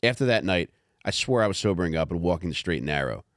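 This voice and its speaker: A man narrating a comic memoir audiobook in a wry, measured, profane way. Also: low-pitched, animated, dry recording, clean studio sound.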